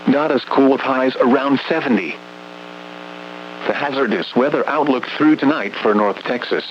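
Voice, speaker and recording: Muffled weather radio guy, bit of hum and noise, reads the forecast all formal and impersonal. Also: medium pitch. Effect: radio broadcast. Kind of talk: scripted weather bulletin reading.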